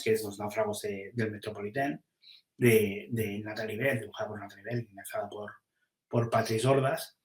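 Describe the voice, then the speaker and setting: Male publisher, fairly low voice, unhurried casual chat full of restarts, echoey room sound. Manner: thinking aloud, many restarts and hesitations. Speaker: comics publisher.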